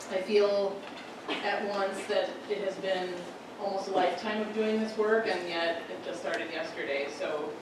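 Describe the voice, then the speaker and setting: A woman with a fairly low voice opens a panel discussion in a conversational tone, using frequent fillers. She sounds far from the microphone, and the room echoes heavily. Steady background noise sits under her voice. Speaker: panel moderator and resettlement coordinator. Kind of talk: panel talk. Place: full lecture room. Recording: echoey room from a distant mic.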